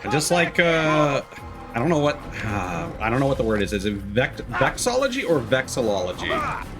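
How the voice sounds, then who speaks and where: A man's voice: mid-range voice, sing-song, normal speed, over music. A man streaming a video game, giving casual, musing commentary with some hesitation.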